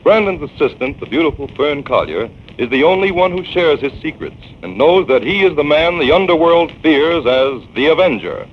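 A man's voice: fairly high pitch, big pitch swings, normal speed, hardly any pauses. A man announcing a 1940s radio drama in a dramatic, emphatic style. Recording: dull old radio transcription with hiss.

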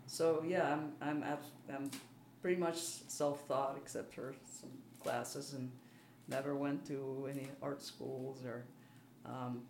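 A woman's voice: a bass voice, fairly even intonation, takes it slowly, few pauses, darkish tone. Hesitant Belgian-accented woman in a roomy space. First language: French, German and Dutch. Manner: self-deprecating, hesitant. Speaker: artist being interviewed. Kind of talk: casual interview talk. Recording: roomy, faint background noise.

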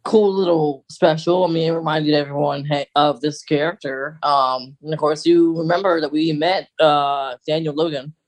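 A podcast co-host talks in a casual, chatty way with a medium-pitched voice. The delivery is hesitant, as if thinking out loud while speculating as a fan. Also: steady tone, moderate pace, hardly any pauses, low-quality recording.

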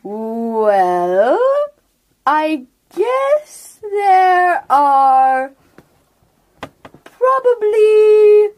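Painfully slow, high-voiced old turtle. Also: female voice, big pitch swings, a little mellow, heavily acted. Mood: slow, explaining. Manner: drawn-out, very slow delivery with long pauses. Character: slow-talking elderly turtle, unhurried and gentle.

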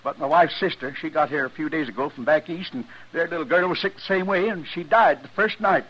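A man's voice: a little high, brisk, a bit bright. A man playing an anxious, pleading frontier homesteader in an old radio western, with an American accent and an earnest, rustic manner. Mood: frightened, pleading. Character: anxious, pleading male homesteader, a frightened father. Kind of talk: 1950s radio western acting. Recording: dull, hissy old radio recording.

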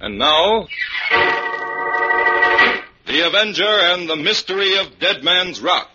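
A man's voice: high-pitched, expressive, slow, loud music behind the voice. A man announcing an old-time radio serial in dramatic scripted narration. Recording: muffled old radio with light hiss.

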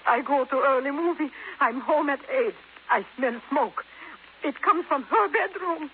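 An actress playing a big, distraught maid in a 1950s radio drama, crying and speaking halting, simple broken English. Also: female voice, sits high, animated. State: tearful, crying. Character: tearful, enormous maid, devoted and evasive. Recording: tinny, hissy 1950s radio broadcast.

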